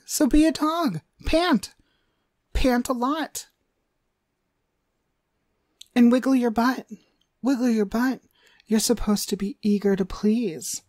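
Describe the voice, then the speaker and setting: A woman playing a cruel, domineering demonic dog trainer in an ASMR roleplay, haughty and scolding with drawn-out emphasis. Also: medium pitch, a little mellow, no room sound, clean studio sound. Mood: impatient, commanding.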